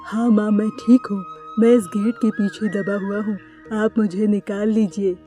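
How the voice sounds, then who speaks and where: A woman plays a caring young son bird in a children's cartoon. The tone is soft-edged and mellow, concerned and reassuring, with music underneath. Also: mid-range voice, level tone, normal speed, lightly acted. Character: caring young male bird, a son comforting his mother.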